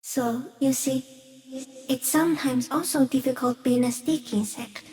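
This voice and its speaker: Slow, high-voiced, otherworldly insect creature. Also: female voice, steady tone, vocal fry, warm tone. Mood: serene, reflective. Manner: slow, halting, deliberate musing in short fragments. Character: ancient, serene, otherworldly giant stick insect, detached and curious. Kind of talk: video game character voice acting.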